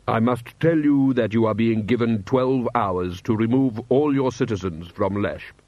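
A man voicing a suave foreign prince and diplomat with formal, measured politeness. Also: mid-range voice, a little mellow, dry recording, highs cut off, close to an everyday voice. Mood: grave, courteous.

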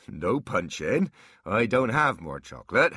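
A man's voice: on the low side, very animated, unhurried, pushed and bright, breathy edge, no room sound, clean studio sound, pitched above the performer's own voice, exaggerated voice. A man voicing a crafty male dwarf in an audiobook, with a wry, coaxing manner. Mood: irritated, amused.

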